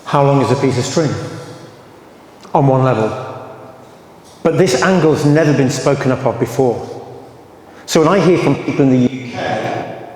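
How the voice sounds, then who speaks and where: A man's voice: mid-range voice, moderate pace, long pauses, darkish tone. A man with an English accent speaking emphatically as a survivor advocate at a public meeting, his voice growing heated. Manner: emphatic, rising to anger, then apologetic. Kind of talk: impassioned public talk. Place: large echoey hall. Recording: roomy echoey hall with reverb.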